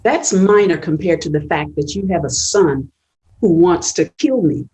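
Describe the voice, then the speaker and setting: An actress reading a young woman teacher, guarded and quick to anger, with a husky voice. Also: on the low side, animated, warm tone, rough recording. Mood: angry. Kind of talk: table read.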